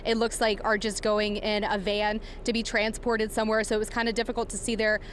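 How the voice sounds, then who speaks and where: A woman working as a field news reporter, giving a live breaking report in a halting, improvised, explanatory way from outdoors. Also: medium pitch, completely flat delivery, fairly bright tone. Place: outdoors outside a warehouse. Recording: clear background noise outdoors.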